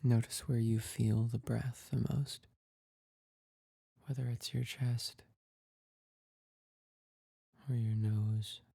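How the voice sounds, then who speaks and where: A man leading a guided meditation in a soft, breathy, husky voice, calm and gentle, with long pauses. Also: medium pitch, dry recording, clean studio sound.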